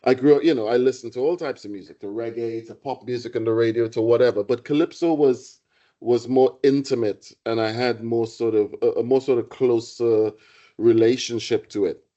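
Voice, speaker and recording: A man delivers a public lecture in a mellow, unhurried voice. He speaks reflectively, circling back on phrases as he thinks. The recording sounds muffled and lo-fi. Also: medium pitch, steady tone. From Trinidad. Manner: reflective, halting with restarts and fillers. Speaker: guest lecturer, poet.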